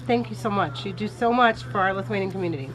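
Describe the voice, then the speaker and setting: A woman's voice: medium pitch, fast talker, rough recording. A woman hosting an interview show, asking prepared questions and thanking her guest graciously. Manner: bright, gracious, thanks the guest, laughs along. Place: Lithuanian club.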